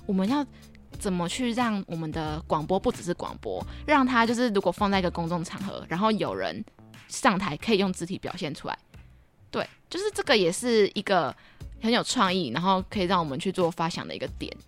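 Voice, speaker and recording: Lively, quick-talking young Taiwanese woman. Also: lowish voice, faint music behind. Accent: Taiwanese Mandarin. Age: young adult. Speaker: podcast co-host. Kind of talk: casual chat.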